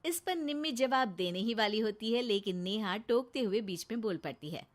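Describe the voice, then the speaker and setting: A woman narrating a children's cartoon story in a lively, expressive way. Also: on the high side, normal speed.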